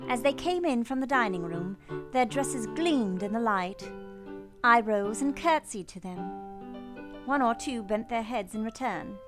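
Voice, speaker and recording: A woman's voice: fairly high pitch, animated, unhurried, music in the background. A woman playing an earnest young governess in a radio play, both narrating and speaking with polite deference. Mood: reflective.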